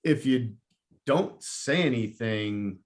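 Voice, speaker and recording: A man who co-owns a business, speaking as a podcast guest in halting casual chat with many restarts. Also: medium pitch, animated. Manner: halting, repeats words.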